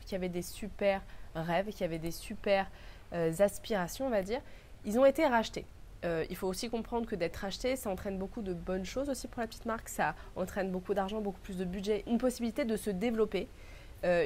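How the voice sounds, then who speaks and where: A woman's voice: medium pitch, darkish tone, a little hiss or hum. Young woman with a stuffy cold. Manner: thoughtful, earnest, lists words in a row. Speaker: beauty vlogger. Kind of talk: casual reflective talk to camera.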